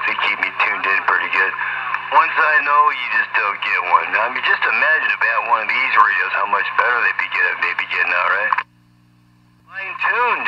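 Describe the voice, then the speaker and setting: A man rambling over CB radio in slangy, taunting chatter, scornful and gruff, repeating catchphrases. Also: lowish voice, dull audio. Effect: CB radio transmission. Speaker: CB radio operator. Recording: noisy, band-limited CB radio with hum.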